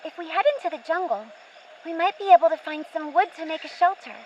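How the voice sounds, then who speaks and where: A woman's voice: very high-pitched, quick pace, darkish tone. A woman playing a plucky young girl, a tough big sister, earnest and childlike in a radio play. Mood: plucky, hopeful. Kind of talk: audio drama acting. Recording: muffled, faint background noise.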